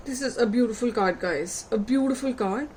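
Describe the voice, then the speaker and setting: A woman reading tarot cards for her viewers, unhurried and reflective, putting gentle questions to them and losing her voice. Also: a little high, a little hiss or hum. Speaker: tarot reader.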